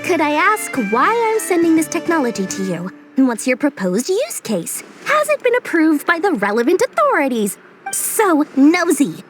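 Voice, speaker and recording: A cheeky little merchant girl from a video game, shrewd and fond of money. Her voice is high and swings widely in pitch, with a sly theatrical lilt, and music plays behind it. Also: wildly swooping pitch, normal speed. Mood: peeved.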